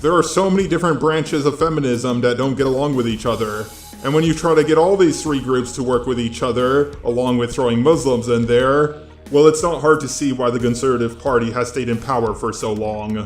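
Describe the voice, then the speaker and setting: A man's voice: medium pitch, brisk, faint music behind. Echoey robotic synthetic male narrator. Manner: flat, mechanical text-to-speech delivery. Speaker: video essay narrator. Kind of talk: scripted narration.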